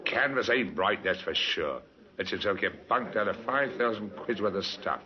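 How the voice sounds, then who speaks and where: Low-voiced, guarded pub barman, played by a man. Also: animated, moderate pace, lightly acted. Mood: guarded, grumbling. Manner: cagey, colloquial, ungrammatical. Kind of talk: 1950s radio drama acting. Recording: muffled old radio recording.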